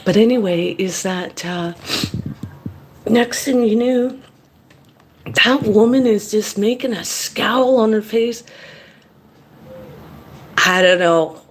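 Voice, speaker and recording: A woman's voice: medium pitch, takes it slowly, pauses often. A woman from Canada, a mukbang vlogger, telling a blunt, rambling story while she eats.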